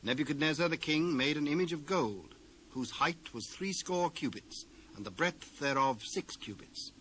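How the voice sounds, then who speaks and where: Higher-pitched British male scripture narrator. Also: expressive, normal speed, a bit bright. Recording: noisy old cassette dub.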